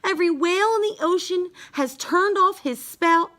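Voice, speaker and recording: A woman reading a rhyming children's bedtime story aloud, halting now and then with small stumbles over words. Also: very high voice, bright, forceful tone. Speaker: storybook reader.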